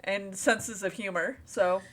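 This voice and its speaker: A woman's voice: mid-range voice, steady tone, takes it slowly, fairly bright tone. A woman co-hosting a podcast, chatting casually in a playful, teasing way. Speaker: podcast co-host. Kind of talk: casual chat.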